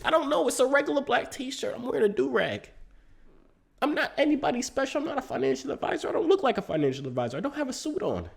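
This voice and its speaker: A young man with a congested, stuffy voice hosts his own video, joking with his viewers as he talks. His pitch swings all over the place and makes him sound lively and hyped. The style is loose and casual, like talking to friends. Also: creaky. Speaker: crypto YouTuber. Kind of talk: casual vlog monologue.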